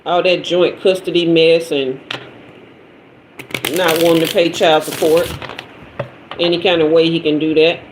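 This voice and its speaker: A woman's voice: low voice, flat delivery, measured pace, pauses often. A woman in her fifties hosting a tarot livestream, chatting loosely with viewers in a slangy, conversational way. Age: 50s. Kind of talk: casual livestream chat reading comments. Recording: roomy echo with faint noise.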